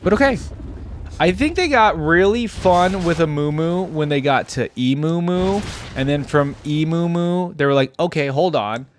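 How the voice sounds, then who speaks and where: A man's voice: fairly high pitch, moderate pace, slight background noise. A man hosting a reaction video, giving casual, conversational commentary and thinking aloud between bits of reading.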